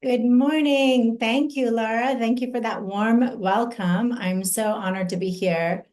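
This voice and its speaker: A woman in her forties who grew up in Iran, giving an earnest personal testimony as an invited speaker, slow and deliberate with emphatic pauses. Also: a little high, steady tone, darkish tone.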